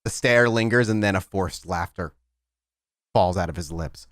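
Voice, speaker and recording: A man running a tabletop game, improvising narration in a descriptive, halting way with dramatic pauses. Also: deep voice, fairly bright tone, no room sound, clean studio sound. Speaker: game master.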